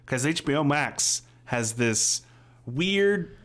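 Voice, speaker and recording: A man's voice: mid-range voice, lively intonation, slow, a bit bright. A man in his thirties chatting casually as a podcast co-host, talking about TV in an informal, rambling way from a room at home. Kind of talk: casual chat, reviewing TV shows. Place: home room, on a video call.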